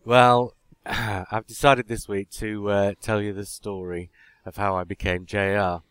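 A man from Yorkshire, hosting a podcast with casual banter, drawn-out anecdotes and mock exasperation. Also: lowish voice, flat delivery, a bit bright, clean tone, no room sound. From Yorkshire, England. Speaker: podcast host.

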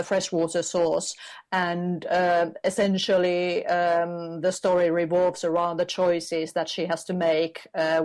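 A woman author, answering an interviewer's questions. She speaks thoughtfully and hesitantly, pausing often and restarting her sentences while she searches for the right words. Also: lowish voice, darkish tone. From Finland. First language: Finnish. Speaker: author guest.

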